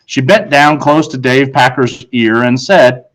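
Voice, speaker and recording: A man reads a children's book aloud in an average-pitched voice. He is a teacher and tells the story expressively. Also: a little slow, a bit bright, rough recording.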